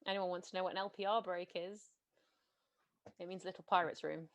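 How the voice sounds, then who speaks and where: A woman hosting a game on a video call, chatty and organising, her voice a bit hoarse from talking all day. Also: fairly low pitch, steady tone, brisk. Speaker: game master and host. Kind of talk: casual chat on a video call.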